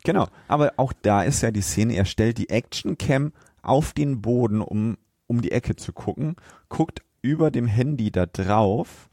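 A man's voice: medium pitch, expressive, unhurried, darkish tone, no room sound, studio quality. A man co-hosting a podcast, chatting casually about a film in a colloquial, agreeable way. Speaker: podcast co-host.

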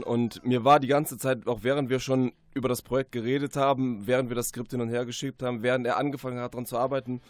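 A man speaking as a guest author in a conversational radio interview, earnest, reflective and emphatic. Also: medium pitch.

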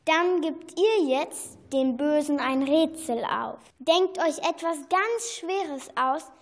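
A woman playing a helpful fairy in a children's radio play, speaking deliberately and encouragingly in a reverberant space. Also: high voice. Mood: urging, solemn. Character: helpful fairy guiding captives with riddles. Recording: long echoing hall reverb.

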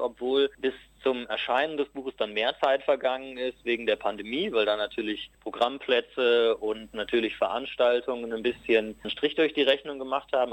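A man's voice: mid-range voice, dry recording, rough recording. A novelist man chatting enthusiastically in a radio interview about his research, with small restarts. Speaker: novelist guest. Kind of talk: interview answers.

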